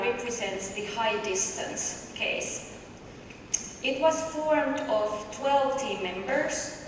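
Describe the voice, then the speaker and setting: Finnish-accented woman presenting in echoey hall. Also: medium pitch. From Finland. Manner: measured, explanatory, poses rhetorical questions. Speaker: conference presenter. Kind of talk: academic paper presentation. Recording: echoey distant hall recording with noise.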